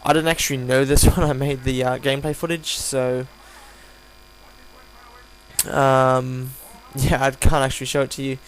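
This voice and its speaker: A tired man with a croaky voice gives casual, unscripted game commentary, rambling and hesitant as he reviews. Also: medium pitch, level tone, normal speed, pauses often, low-quality recording. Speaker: gaming video host reviewing a weapon. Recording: home recording with audible hum, background noise.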